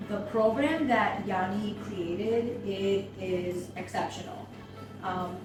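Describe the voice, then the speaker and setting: A woman who owns a business, giving a thoughtful testimonial with many ums and pauses. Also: medium pitch. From United States. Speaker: seminar attendee, business owner. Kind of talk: testimonial interview. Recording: echoey room, mic far off, music behind.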